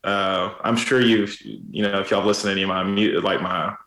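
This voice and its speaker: A raspy man with a somewhat low voice chats casually as a podcast guest. He rambles along in a relaxed way, full of fillers. His voice rings with a roomy echo. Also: moderate pace. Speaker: musician guest.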